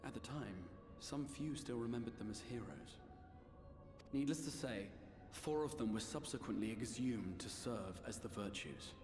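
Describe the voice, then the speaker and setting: An actor playing a composed, analytical scholar-adventurer in a fantasy game, with formal, articulate speech. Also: male voice, medium pitch, lively intonation, normal speed, natural voice. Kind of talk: scripted fantasy game voice acting. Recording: echoey hall reverb, game music behind.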